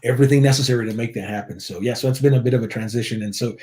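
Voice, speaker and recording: A man's voice: medium pitch, racing, darkish tone. Chatty man from Mexico, restarts often. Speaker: church worship director, guest. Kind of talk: casual interview chat.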